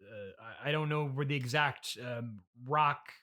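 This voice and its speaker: A man's voice: medium pitch, unhurried, bright, forceful tone. A man hosting a casual comedy interview, speaking in a hesitant, flustered way with frequent stammering. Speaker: podcast host and interviewer.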